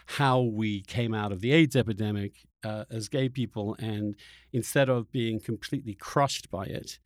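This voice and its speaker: A man hosting a conversational interview, thinking aloud in a halting, searching way and often repeating words as he goes. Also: lowish voice, no echo, studio quality. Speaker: podcast host interviewer.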